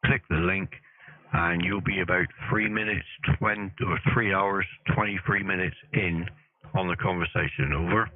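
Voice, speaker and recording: A man's voice: sits low, fairly even intonation, moderate pace. A man from Plymouth, England, operating a ham radio station in a friendly, chatty way. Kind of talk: casual ham radio chat. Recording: phone-like narrow band.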